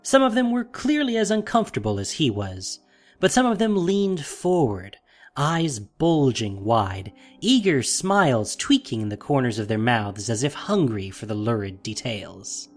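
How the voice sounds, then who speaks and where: Expressive male fantasy story narrator. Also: mid-range voice, a little slow, faint music behind.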